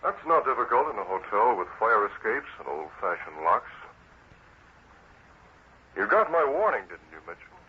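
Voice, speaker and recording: Stern masked vigilante, swooping delivery. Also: male voice, on the low side, very animated, normal speed, long pauses. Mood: menacing, commanding. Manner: terse, commanding. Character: masked male vigilante, stern, commanding, coolly menacing. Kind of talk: 1940s radio drama acting. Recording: noisy, muffled old radio recording.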